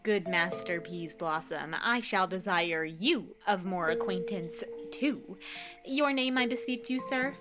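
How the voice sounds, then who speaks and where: A woman's voice: fairly low pitch, a little slow, music in the background, natural voice. A woman playing a foolish male weaver with pompous, overly polite manners in a comic Shakespearean radio play. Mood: pompous courtesy.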